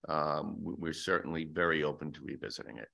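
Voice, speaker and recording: A man's voice: a bass voice, fairly even intonation, a little fast, vocal fry, bright, forceful tone. A man in his sixties testifying at a legislative hearing for a business group, hesitant and a little defensive, speaking into a desk microphone in a hearing room. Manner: hesitant, many fillers and restarts, defensive.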